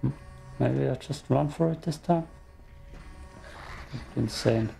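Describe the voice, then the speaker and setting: A man's voice: medium pitch, takes it slowly, gravelly, warm tone, faint music behind. A man with an Austrian accent casually commenting on a horror game as he plays, muttering frustrated asides to himself. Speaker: gameplay streamer. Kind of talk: casual let's play commentary.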